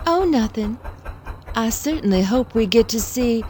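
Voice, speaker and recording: An actress playing a scheming, spiteful younger sister in an audio drama, sly, flirtatious and taunting. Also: female voice, on the high side, animated, unhurried, a little mellow, slight background noise.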